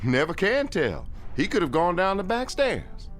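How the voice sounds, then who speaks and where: A man's voice: sits high, wildly swooping pitch, unhurried, soft background music, heavily acted. An actor playing a courteous, probing night doorman at an office building in a radio drama. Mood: polite, curious.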